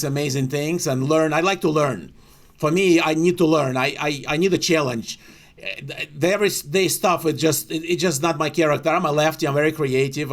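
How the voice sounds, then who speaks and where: A middle-aged man speaks English with a strong Ukrainian accent, his voice sitting a little high for a man. He tells his story as an interview guest in a loose, animated way, full of fillers and acted-out quotes of his own past thoughts. The audio comes from a video call.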